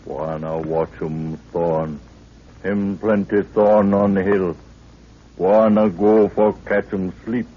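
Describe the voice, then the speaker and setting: Deferential native servant, deep husky voice, pidgin English, old radio adventure, muffled lo-fi sound. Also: male voice, fairly even intonation. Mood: cautious warning. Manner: slow pidgin English, clipped grammar. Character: loyal African native camp servant, wary and deferential. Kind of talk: 1930s radio drama acting. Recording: dull lo-fi 1930s radio recording.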